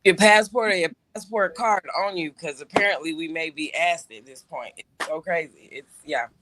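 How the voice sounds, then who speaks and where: A man's voice: fairly high pitch, normal speed, pushed and bright, a little hiss or hum, low-quality recording. A man co-hosting a casual talk show, telling a personal story with lots of restarts and fillers. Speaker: show co-host. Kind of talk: casual podcast chat.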